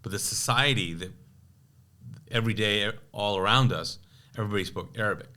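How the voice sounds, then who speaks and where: A man in his forties who grew up in Kuwait, speaking Urdu as his first language, telling his story as a pastor in a relaxed interview, with lots of fillers and restarts. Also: fairly low pitch, fairly quick. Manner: rambling, many fillers and restarts. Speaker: pastor and podcast guest.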